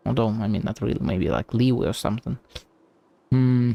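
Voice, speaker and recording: A man's voice: mid-range voice, moderate pace, creaky, dark tone. A man giving thinking-aloud commentary as a game streamer, hesitant and musing.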